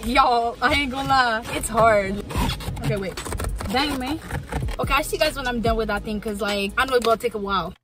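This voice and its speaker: A young woman chatting excitedly to her phone camera while cleaning and decorating her car, with lots of "like" and "dang". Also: fairly high pitch, brisk. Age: young adult. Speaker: vlogger. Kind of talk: casual vlog chat to camera. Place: in a car and at home indoors. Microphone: phone camera at arm's length. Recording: music playing behind.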